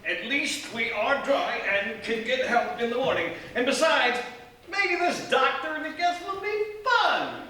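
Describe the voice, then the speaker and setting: An actor playing an earnest, reassuring young boyfriend, slightly hesitant, in a live radio horror spoof. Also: male voice, very high-pitched, lively intonation, unhurried, pushed and bright, full character voice. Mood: nervously reassuring. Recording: echoey theatre, distant mic, faint noise.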